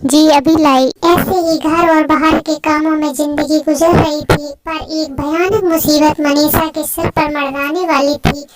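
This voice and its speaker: A woman narrates a cartoon story from a script. Her voice is very high, with clear music playing behind her. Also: fairly even intonation, unhurried, hardly any pauses, low-quality recording.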